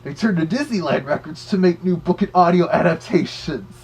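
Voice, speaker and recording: Man playing a higher-voiced chatty cartoon wolf reviewer. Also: brisk, darkish tone, slightly rough. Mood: chatty, informative. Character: Big Bad Wolf, cartoon villain hosting record reviews. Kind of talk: casual review commentary.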